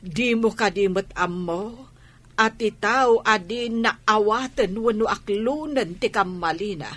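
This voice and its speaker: A woman's voice: mid-range voice, takes it slowly, a bit bright. A woman playing a worried middle-aged wife in a radio drama, reproaching her husband. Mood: reproachful, worried.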